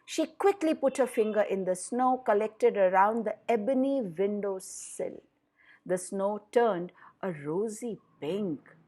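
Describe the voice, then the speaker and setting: A woman storyteller narrates a children's fairy tale. She takes her time over each phrase with deliberate emphasis. Her pitch moves widely, giving the telling a dramatic, expressive shape.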